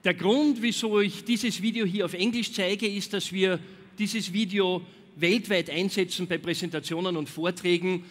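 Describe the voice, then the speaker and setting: A man giving a conference keynote on stage, often pausing with fillers. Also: sits high, steady tone. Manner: frequent filler hesitations. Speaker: keynote speaker. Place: large dark stage hall. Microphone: headset mic. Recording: echoey large hall.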